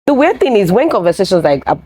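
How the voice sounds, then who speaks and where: A woman speaking as a guest in a casual chat, measured and thoughtful. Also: mid-range voice, very animated, mellow, no room sound, clean studio sound.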